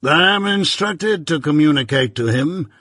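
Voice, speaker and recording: A man playing a stern, imperious middle-aged London lawyer who speaks slowly and with command. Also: on the high side, animated, sharp tone, dry recording, clean studio sound, voice pushed up. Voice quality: slow, measured, commanding.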